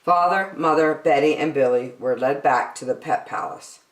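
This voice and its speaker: A woman reading a children's picture book aloud as a story-time host, with an expressive, dramatic delivery. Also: deep voice, moderate pace, slight background noise. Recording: echoey room.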